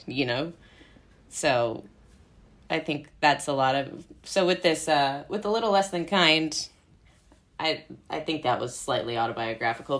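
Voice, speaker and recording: A young American woman from Brooklyn in her twenties, chatting casually as an interview guest in a rambling, animated, giggly way. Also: low-pitched. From Brooklyn, United States. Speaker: interview guest, playwright and actor. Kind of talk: casual chat.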